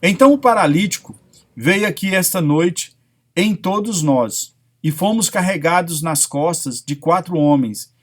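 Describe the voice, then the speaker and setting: Brazilian male narrator, fairly high voice, reading spiritual teachings aloud. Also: expressive, a little slow. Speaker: narrator reading self-help spiritual texts. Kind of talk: read-aloud spiritual lecture.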